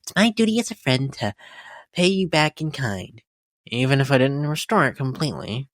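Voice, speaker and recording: A woman's voice: rumbling low, expressive, normal speed, no echo, clean studio sound. A woman voicing an earnest, devoted young mare, a forgiving cartoon pony friend, in a dramatic fan reading. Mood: sincere, accepting. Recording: music behind the voice.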